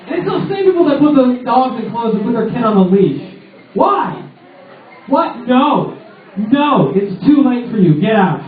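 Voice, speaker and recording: A man's voice: very high-pitched, sing-song, a little slow. A young man doing a rambling, sarcastic stand-up comedy set in a small pub, full of "like" fillers, with the crowd laughing. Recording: echoey lo-fi room recording from far off.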